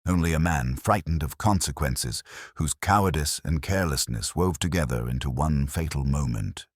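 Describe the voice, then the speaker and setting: Man reading as grave, gentle inspector, extremely deep. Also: normal speed, husky, no echo, clean studio sound. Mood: gentle, reflective. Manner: gentle, quietly firm, formal. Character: calm, grave, measured male police inspector. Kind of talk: dramatised detective story dialogue.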